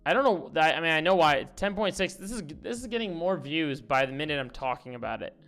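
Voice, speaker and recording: A man's voice: fairly high pitch, expressive, fast talker, fairly bright tone, faint music behind. Young man ranting bluntly on stream. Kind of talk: casual stream commentary.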